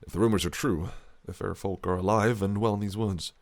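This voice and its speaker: A man playing a silky, gravelly fae prince. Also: fairly low pitch, fairly even intonation, fairly quick, natural voice. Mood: ominous. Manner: silky, teasing, menacing. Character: possessive, cunning male fae prince. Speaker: voice actor in an audio roleplay. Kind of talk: listener-directed audio roleplay.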